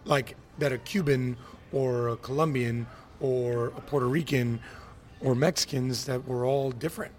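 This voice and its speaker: A man from Miami hosting a casual podcast, an animated storyteller who likes to rant and act out quotes. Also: medium pitch, moderate pace. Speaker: podcast host.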